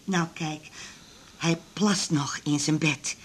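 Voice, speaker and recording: A woman's voice: deep voice, takes it slowly, breathy edge, heavily acted. An actress playing a chatty, doting but bossy working-class mother, colloquial and nagging, in a comic radio drama. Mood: earnest, insistent.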